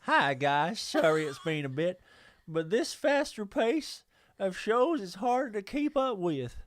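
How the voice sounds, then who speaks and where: Man putting on a high, drawling American Southerner. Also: big pitch swings, takes it slowly, no echo, studio quality, exaggerated voice. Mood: exaggerated drawl. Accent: put-on American Southern. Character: exaggerated American Southerner reading a fan letter.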